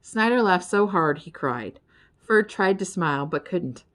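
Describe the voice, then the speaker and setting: Female children's book narrator, expressive, slightly low register, big melodic range. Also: unhurried. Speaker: audiobook reader.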